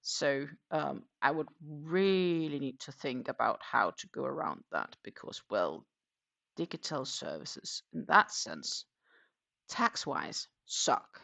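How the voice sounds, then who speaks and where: A woman with a Danish accent talks in a relaxed, rambling way, thinking out loud as she goes. Her voice is bright and has a gravelly edge. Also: rumbling low, slow pace. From Denmark. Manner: chatty, musing aloud with fillers and restarts. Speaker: crochet livestream host. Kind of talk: casual chat while crocheting.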